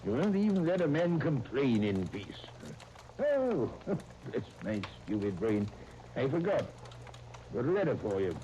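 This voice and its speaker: Grumbling, affectionate English uncle: older, blustering, warm low-lit timbre, vintage radio serial with surface noise. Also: male voice, sing-song, unhurried, dull audio. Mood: fond grumbling. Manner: gruff, old-fashioned exclamations. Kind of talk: 1930s radio serial acting. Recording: noisy 1939 radio transcription disc.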